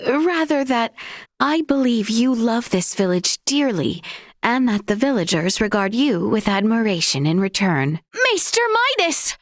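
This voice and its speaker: A woman's voice: on the high side, no room sound, studio quality. An actress playing a polite, earnest young noblewoman in a fantasy video game, speaking in formal, archaic, courteous phrases. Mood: apologetic, sincere, then puzzled, animated. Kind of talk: video game character voice acting.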